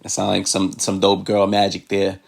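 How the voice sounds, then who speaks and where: Relaxed slangy male co-host. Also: deep voice, normal speed, creaky. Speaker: interview co-host. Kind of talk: casual interview chat.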